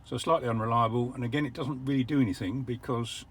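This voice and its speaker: An older English man explaining how an electric car works from the driver's seat, measured and a little wry. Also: medium pitch, fairly even intonation, husky. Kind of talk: casual vlog commentary. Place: parked car.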